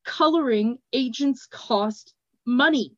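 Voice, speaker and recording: A woman doing a slow-spoken furious glassblower. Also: a little high, fairly bright tone, dry recording, highs cut off. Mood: angry slow. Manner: slow, deliberate, forced words. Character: angry, slow-spoken grown male glassblower.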